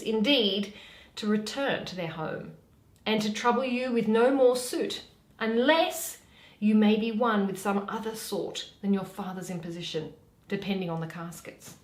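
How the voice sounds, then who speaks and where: A woman's voice: mid-range voice, moderate pace, close to an everyday voice. A woman playing a loyal, curious lady's maid in a theatrical Shakespearean reading, polite and coaxing. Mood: reassuring. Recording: roomy, echoey home recording.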